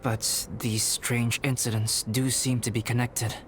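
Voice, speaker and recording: A man playing a calm, analytical young alchemist. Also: medium pitch, slight background noise, close to an everyday voice. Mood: composed. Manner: measured, formal and precise. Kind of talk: video game voice acting. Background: game music.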